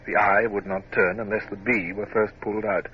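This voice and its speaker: Low-voiced naval officer played by an actor, vintage radio. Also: male voice, flat delivery, close to an everyday voice. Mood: measured, reflective. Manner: formal, measured, literary. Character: reflective, scrupulous young male Royal Navy officer narrating memoirs. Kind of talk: dramatic first-person radio drama narration. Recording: dull, noisy vintage radio drama recording.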